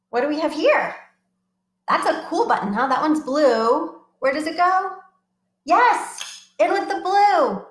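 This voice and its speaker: A middle-aged woman, a children's librarian, talking to small children in a bright, sing-song way from her home. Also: sits high. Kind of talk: children's storytime livestream. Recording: echoey room.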